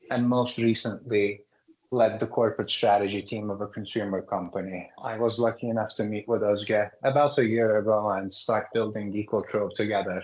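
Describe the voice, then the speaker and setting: A man, a startup founder, giving polished, pitch-like answers in an interview. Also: rough recording. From Turkey. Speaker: startup CEO guest.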